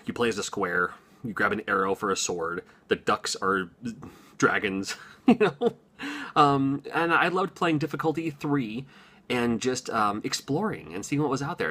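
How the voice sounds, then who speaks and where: A man's voice: fairly low pitch, bright, forceful tone, slightly rough. A man in his forties rambling casually to his viewers, chatty with lots of ums and little chuckles. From Washington, USA. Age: 40s. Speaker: gaming YouTuber. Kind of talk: casual unscripted monologue to camera.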